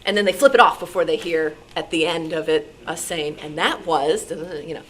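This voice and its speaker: Woman with a lowish voice chatting about her radio work, halting and animated, some room noise. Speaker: radio show programmer taking audience questions. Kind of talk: informal talk with audience questions. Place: club meeting room. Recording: noisy live meeting room recording.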